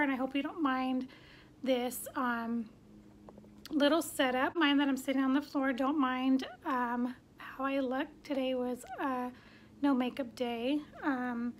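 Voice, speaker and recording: Woman, 30s, high voice, casual filler-heavy haul chat, phone mic, noisy. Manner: chatty, many "um" fillers, upbeat. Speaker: vlogger. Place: bedroom at home, sitting on the floor. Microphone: phone camera at arm's length.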